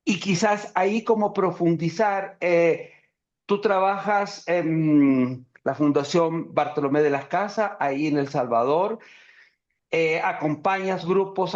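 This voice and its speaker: A man hosting an interview over a video call, speaking in a measured, courteous way. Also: a little high. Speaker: interview host. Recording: dull lo-fi call audio.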